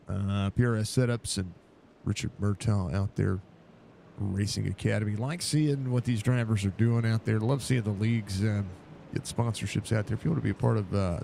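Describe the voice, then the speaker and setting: A man with a stuffy, congested voice calling a live sim race, excited and rambling with lots of fillers. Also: lowish voice, vocal fry, a little hiss or hum. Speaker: sim racing broadcaster.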